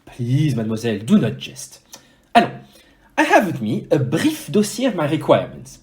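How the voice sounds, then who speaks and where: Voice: mid-range voice, huge pitch swings, normal speed, darkish tone. A performer playing a fussy, formal Belgian male detective in an audio play, with a French accent and precise, courteous speech. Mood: fussy, polite.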